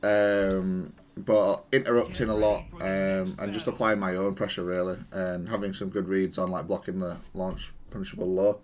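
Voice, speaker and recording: Low-voiced man breaking down gameplay. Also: little pitch movement, moderate pace, few pauses, a little mellow. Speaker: gamer analysing own matches. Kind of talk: off-the-cuff fighting game replay commentary.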